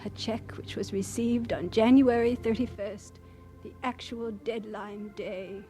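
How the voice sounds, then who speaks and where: High-voiced earnest missionary woman played by an actress. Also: fairly even intonation, warm tone, faint music behind, close to an everyday voice. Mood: earnest reflective. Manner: earnest, measured, with deliberate pauses. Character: Ida Scudder, earnest devout woman missionary doctor telling her story.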